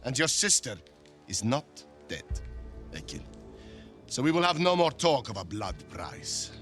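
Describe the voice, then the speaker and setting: An actor playing a ruthless, scornful Viking warlord in a video game, taunting and deliberate. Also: male voice, mid-range voice, very animated, fairly bright tone, soft background music. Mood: mocking, menacing. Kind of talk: cinematic video game acting.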